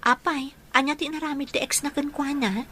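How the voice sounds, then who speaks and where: A woman's voice: high-pitched, steady tone, a little fast, bright, forceful tone, slight background noise. An actress with a Filipino Ilocano accent playing a caring, inquisitive young engaged woman in a radio drama. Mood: questioning, concerned.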